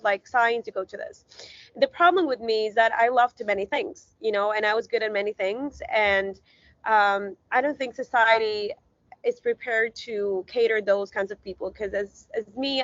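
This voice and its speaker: A woman's voice: mid-range voice, fairly bright tone, no room sound, dull audio. A woman from Ecuador, a guest in an interview, talking earnestly with lots of fillers and rambling asides. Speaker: guest, visibility strategist. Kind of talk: casual interview talk.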